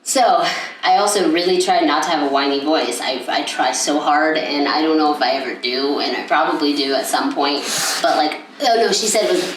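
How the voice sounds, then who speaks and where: Low-voiced young woman rambling in a roomy space. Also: brisk, slight background noise. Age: young adult. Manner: rambling, with many fillers and restarts. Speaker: podcast co-host. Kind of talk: casual chat. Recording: echoey room, mic at a distance.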